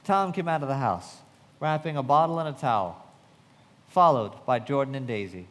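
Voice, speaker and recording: A man's voice: medium pitch, lively intonation, moderate pace, close to an everyday voice. An actor playing a reflective, observant young man narrating his memories with literary care in a live radio play. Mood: reflective, vivid. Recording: reverberant hall with faint noise.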